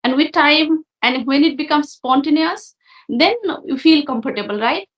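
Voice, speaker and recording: A woman's voice: high voice, moderate pace, echoey room, muffled recording. A woman from Assam, a guest speaking candidly and reflectively in English, her fourth language. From Assam, India. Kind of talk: casual conversation.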